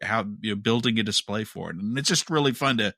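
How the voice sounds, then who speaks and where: Man, podcast co-host, bright forceful voice, dry close sound, casual halting fan chat. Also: on the low side, no echo.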